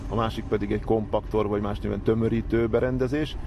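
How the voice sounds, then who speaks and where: A man's voice: fairly low pitch, fairly even intonation, lo-fi sound, noisy background. A man working as an operations manager, giving methodical, technical explanations in an interview.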